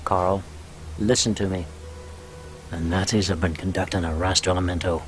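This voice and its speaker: An actor playing a chatty, persuasive American intelligence officer in a video game, briefing in a conversational way with pauses. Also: male voice, low voice, steady tone, background noise, lightly acted. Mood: briefing, wry.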